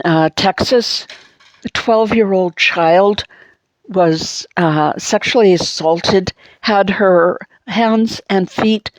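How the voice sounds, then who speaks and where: A middle-aged woman with an Anglophone Montreal accent, giving a halting personal monologue full of fillers and restarts. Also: mid-range voice, a little mellow, no echo, rough recording. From Montreal, Canada. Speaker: vlogger.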